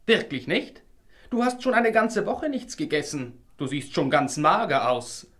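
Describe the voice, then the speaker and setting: An actor playing a bold, teasing, good-hearted young hero in a fairy-tale audio drama. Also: male voice, on the high side, sing-song, a little slow, fairly bright tone. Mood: taunting.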